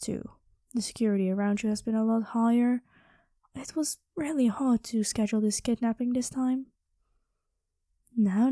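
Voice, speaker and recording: A woman's voice: on the high side, warm tone, no echo, lightly acted. A woman improvising an ASMR roleplay as a playful, possessive dragon queen, coy and intimate, often dropping into whispers.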